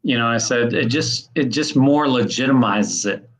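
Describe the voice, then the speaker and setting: A man's voice: medium pitch, normal speed. Easygoing man in his mid-fifties. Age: 50s. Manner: easygoing, emphatic. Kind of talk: casual chat.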